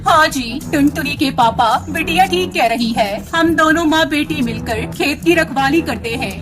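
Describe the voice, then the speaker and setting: A chatty auntie bird from a children's cartoon , voiced by a woman. Her voice is very high and her manner familiar and neighbourly. Music runs clearly behind the speech. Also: moderate pace, lightly acted. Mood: chatty, helpful.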